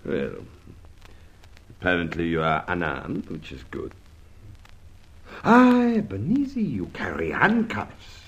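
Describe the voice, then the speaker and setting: Suave, deep-voiced foreign villain. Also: male voice, very animated, slow pace, long pauses, highs cut off, exaggerated voice. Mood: polite threat. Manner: elaborately polite, formal, menacing, with "eh?" tags. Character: suave, mock-courteous foreign villain holding men at gunpoint. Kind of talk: old-time radio drama acting.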